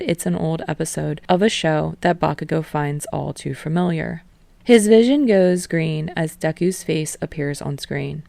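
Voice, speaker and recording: A woman reading fan fiction aloud as an audiobook, in a steady storytelling manner. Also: low-pitched, gravelly, no echo. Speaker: podfic reader.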